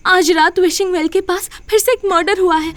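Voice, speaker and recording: A woman's voice: very high voice, fairly even intonation, normal speed. A woman playing a frightened, distressed college-age girl in an anxious, pleading way for an audio horror drama.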